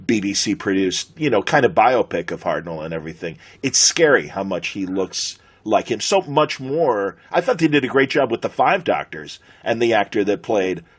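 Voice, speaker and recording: A middle-aged man with an American accent and a husky voice, chatting conversationally as a podcast host. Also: on the low side, lively intonation, normal speed, hardly any pauses. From United States.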